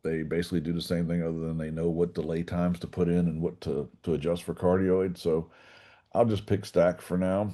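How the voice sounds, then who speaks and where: A man giving an informal technical class, walking through a software demonstration step by step in a folksy way. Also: deep voice, flat delivery, a little fast. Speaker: class instructor.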